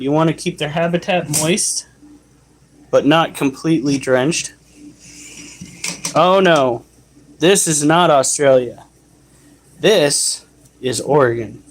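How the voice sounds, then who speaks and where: A man's voice: on the high side, slight background noise. A man hosting a casual livestream, chatting and explaining how to care for his pets while answering chat. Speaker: livestream host and pet keeper.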